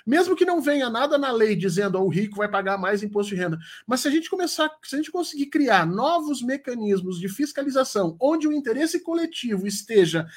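A Brazilian man with a high-pitched voice that has a rough, husky grain. He talks conversationally as a guest political commentator, making his points emphatically and with firm opinions. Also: very animated, brisk, hardly any pauses.

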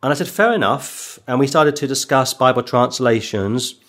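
A man preaching a sermon, quoting scripture in a raised voice and dropping low for personal asides. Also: moderate pace, a bit bright.

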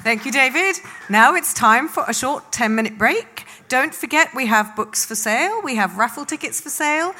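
Bright-voiced woman compere. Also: sits high, animated, moderate pace, hardly any pauses. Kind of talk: event announcements.

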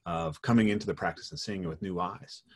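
A man's voice: low voice, fast. A man in his late thirties talking reflectively in casual conversation, often checking in with "right?". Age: 30s. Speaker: parkour practitioner.

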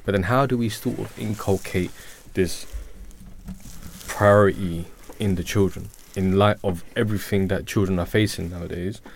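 A man's voice: low voice, steady tone, normal speed, a little hiss or hum. A man in his thirties co-hosting a radio discussion, thinking aloud and asking questions with some hesitation. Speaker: radio show co-host. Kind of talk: casual panel discussion.

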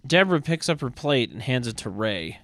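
A man narrating a script table read and bantering casually, with a deadpan, wry manner. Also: medium pitch, brisk.

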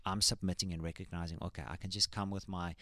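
A man who leads church worship, talking in an earnest, thoughtful way with frequent pauses and ums. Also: level tone, bright, forceful tone, no room sound. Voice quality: high tenor singing voice. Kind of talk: casual church podcast chat.

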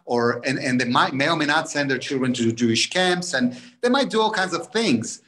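A man's voice: fairly high pitch, lively intonation, moderate pace, sharp tone. A man, an author guest on a webinar interview, explaining his research with many restarts and fillers.

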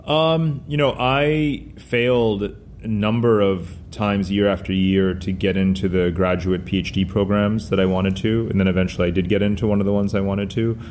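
Man, middle-aged, Hindi first language, low-ish voice, discursive, faint background noise. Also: fairly even intonation, moderate pace, few pauses, a little mellow. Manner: discursive, frequent "you know" fillers. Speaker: guest author. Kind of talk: conversational interview answers.

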